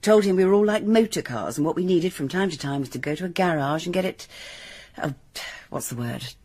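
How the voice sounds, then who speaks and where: An actress with a British accent playing a chatty, teasing secretary in a radio drama, with a husky voice. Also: female voice, on the low side, animated, a little fast, a little mellow. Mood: confiding.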